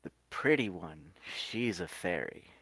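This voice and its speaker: Man as low-voiced, reassuring friendly sorcerer. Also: big pitch swings, measured pace, slightly rough, dry recording, heavily acted, low-quality recording. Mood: reassuring, playful. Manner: gentle, simple explaining as if to a child. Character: friendly carpenter sorcerer, reassuring and playful with children.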